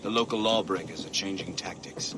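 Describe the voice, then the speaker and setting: An actor playing a stern, formal middle-aged colonel in a video game, speaking in a measured way over background noise. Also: male voice, deep voice, fairly even intonation, natural voice. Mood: businesslike. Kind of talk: video game cutscene acting. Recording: clear background ambient noise.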